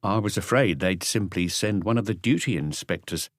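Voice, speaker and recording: A man's voice: low-pitched, animated, moderate pace, no echo, studio quality. A man voicing a middle-aged, formal detective inspector who speaks carefully and courteously on the telephone in an audiobook. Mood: solemn, careful.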